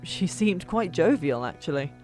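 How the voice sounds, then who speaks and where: A woman reading a fantasy novel as an audiobook narrator, expressive and dramatic, with hushed asides and pauses. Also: lowish voice. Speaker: narrator of a fan-made audiobook. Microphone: old microphone. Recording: music bed, older microphone. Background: background music.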